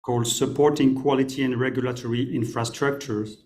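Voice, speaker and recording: A man's voice: mid-range voice, level tone, a little mellow. Man reading formal protocol greetings. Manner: measured, listing dignitaries formally. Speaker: development officer addressing a council.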